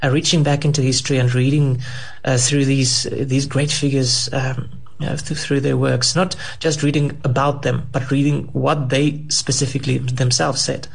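Raspy gravelly guy in ministry, um-ing and uh-ing a lot. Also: mid-range voice, flat delivery. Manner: halting, many fillers. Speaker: pastor. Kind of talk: panel discussion.

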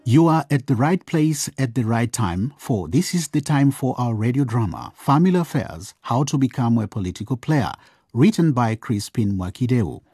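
Husky, animated male narrator. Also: medium pitch, normal speed, faint music behind. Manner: expressive storytelling. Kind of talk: scripted radio drama narration.

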